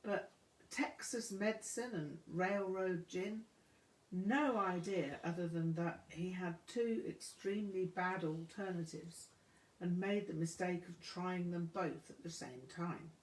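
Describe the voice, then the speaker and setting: A woman's voice: lowish voice, takes it slowly. A woman reading a memoir aloud as scripted narration, voicing the dialogue within it. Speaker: audiobook reader. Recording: roomy, echoing room sound.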